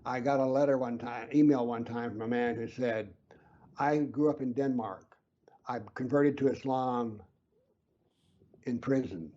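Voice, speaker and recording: A man being interviewed who explains his views earnestly and emphatically, sometimes dropping to a low whisper to stress a point. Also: unhurried, dark tone, highs cut off. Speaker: interview guest, author.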